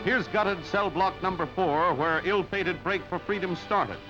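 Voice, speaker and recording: A male newsreel announcer with a high-set voice delivers clipped, dramatic headline phrases. The narration is scripted and declarative. The sound is dull and lo-fi, with music prominent behind him. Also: measured pace. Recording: dull band-limited newsreel audio, loud music. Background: loud music.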